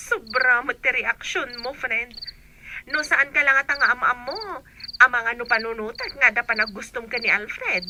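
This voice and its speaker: A woman's voice: a little high, measured pace, background noise, close to an everyday voice. A woman acting a teasing, forthright young woman with an Ilocano accent in a radio drama.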